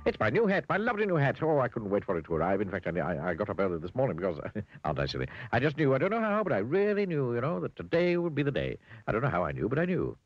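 A man's voice: mid-range voice, huge pitch swings, rapid-fire, muffled recording, faint music behind, voice pushed up. A man voicing Mister Chatterbox, a fussy little man who rambles on breathlessly and can't stop talking. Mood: excited.

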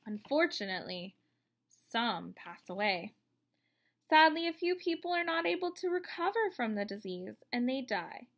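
A woman's voice: on the high side, big pitch swings, normal speed. A woman in her thirties reading a children's book aloud, explaining simply and expressively. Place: indoors, room at home.

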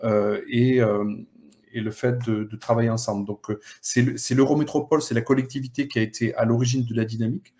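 A middle-aged man giving an explanatory talk over a video call on a headset, halting and repeating small words as he goes. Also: mid-range voice, steady tone, dark tone. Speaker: local economic development director, panellist. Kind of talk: explanatory webinar presentation. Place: indoor room, on a video call.

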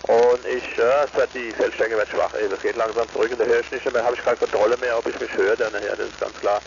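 A man chatting casually as an amateur radio operator, speaking in a halting, courteous way. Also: mid-range voice, little pitch movement, gravelly. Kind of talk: casual radio contact chat. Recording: noisy, dull amateur radio link.

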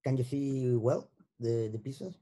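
A man whose first language is Spanish, lecturing in halting English on a video call and searching for words. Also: mid-range voice, expressive, warm tone, breathy edge. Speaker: guest lecturer. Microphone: laptop mic on a video call.

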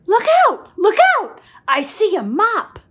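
Middle-aged female storyteller for a kids' picture book, very animated range, unhurried and sing-song. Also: very high voice. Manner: expressive, sing-song, slow, with whispered asides. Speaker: children's book reader. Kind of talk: animated read-aloud of a picture book for young children. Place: small room at home.

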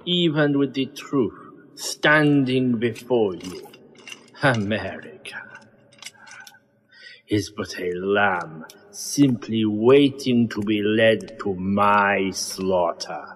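An actor playing an ancient vampire lord in an audio drama, grandiose, menacing and cruelly amused. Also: male voice, fairly low pitch, slight background noise. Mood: amused menace. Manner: slow, grandiose, savoring each phrase. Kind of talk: audio drama villain acting. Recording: long cavernous reverb with music.

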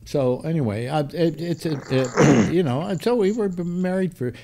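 An older man hosting a casual video-call chat, rambling with halting restarts and self-mocking jokes. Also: on the high side, vocal fry, very noisy. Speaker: host. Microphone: webcam on a video call.

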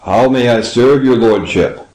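An actor playing a timid, servile old tavern keeper, humble and quavering, in a dramatised audiobook. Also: male voice, mid-range voice, unhurried, a little mellow, reverberant, lightly acted, rough recording. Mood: timorous, servile.

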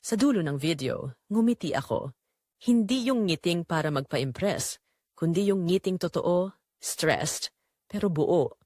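A woman's voice: fairly low pitch, animated, unhurried, warm tone, no room sound, clean studio sound. A woman reading dramatic first-person scripted narration as the narrator of an audio drama.

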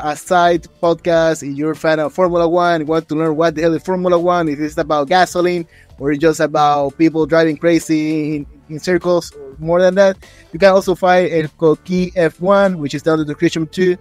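A man's voice: on the high side, flat delivery, brisk, a little mellow, no echo, soft background music. A man in his thirties hosting a casual online interview, effusive, jokey and rambling, close on a desk microphone. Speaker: interview host. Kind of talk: casual hosting, channel plugs. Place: indoor room with streaming setup. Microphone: desk microphone, close, with headset.

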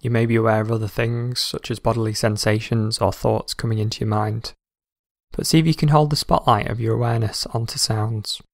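A man calmly guiding a scripted meditation in a measured, instructional way. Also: lowish voice, vocal fry, dry recording, studio quality. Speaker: meditation guide.

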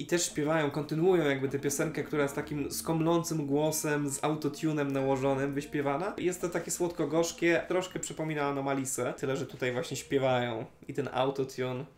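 A man's voice: mid-range voice, steady tone, brisk, few pauses. A young adult man giving his opinions in a casual review discussion. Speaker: film festival reviewer. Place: small living room, indoors, on a couch. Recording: roomy echo.

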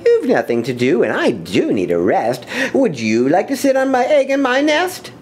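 A man voicing a lazy, carefree female bird who pleads in a high, sing-song way in a storybook reading. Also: wildly swooping pitch, normal speed, a little mellow, a little hiss or hum. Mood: coaxing. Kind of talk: storybook read-aloud.

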